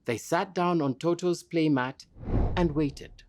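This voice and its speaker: A woman's voice: low voice, slow, a little mellow. A woman narrating a scripted children's story as a storybook narrator, with music behind her.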